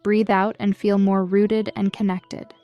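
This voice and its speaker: A woman leads a scripted guided meditation with a smooth, clear voice at a medium pitch. Her delivery is measured and soothing, giving gentle instructions. The sound is dry and close, with music playing behind her. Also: flat delivery, no room sound, muffled recording. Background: background music.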